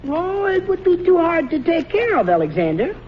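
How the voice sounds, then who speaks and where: A muffled, noisy old radio recording of a hapless American family man. He is sheepish and henpecked, stammering along with a very animated, swooping pitch in sitcom style. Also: normal speed, heavily acted. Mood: gentle, tired. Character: hapless, henpecked, good-natured family man and office worker.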